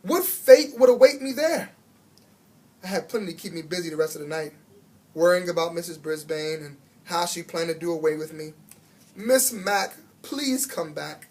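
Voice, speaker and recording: An American man narrates a children's storybook. His delivery is expressive and dramatic, with emphatic phrasing that acts out the story as he goes. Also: a little high, normal speed. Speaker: children's book reader.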